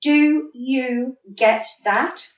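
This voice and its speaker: A woman's voice: high voice, very slow. A woman acting a blunt, exasperated female football manager in a radio play, scolding and emphatic. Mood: firm, persuasive. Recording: roomy, distant microphone.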